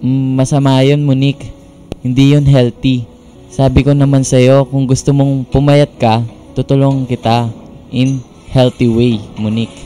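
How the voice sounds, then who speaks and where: Radio drama: man playing caring, teasing Filipino boyfriend, mellow, gentle delivery, music bed. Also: mid-range voice, flat delivery, measured pace, natural voice. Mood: coaxing, teasing. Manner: gentle, coaxing. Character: tender, teasing young male suitor, gym instructor.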